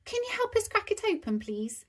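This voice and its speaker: Casting: woman to play brainy small elephant boy for a storybook, raised pitch, precise, earnest explanations. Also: big pitch swings, normal speed. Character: clever young boy elephant, earnest little know-it-all.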